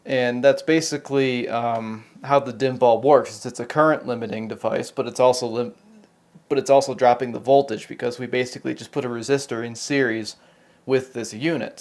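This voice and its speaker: A man's voice: mid-range voice, a little mellow. Casual American man thinking aloud. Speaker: host of a repair video channel. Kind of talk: unscripted hands-on technical demonstration.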